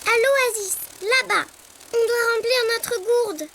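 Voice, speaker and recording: A woman's voice: very high voice, takes it slowly, noisy background, exaggerated voice. A woman voicing a small, cheeky, impatient female character in a children's cartoon, with an exclamatory, teasing delivery. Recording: music under the voice.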